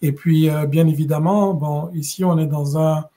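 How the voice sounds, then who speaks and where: A middle-aged man from West Africa, a podcast guest, telling his story in a measured, thoughtful way with many pauses and restarts. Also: a little high, fairly even intonation, warm tone. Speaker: guest, regional director. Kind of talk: conversational podcast interview.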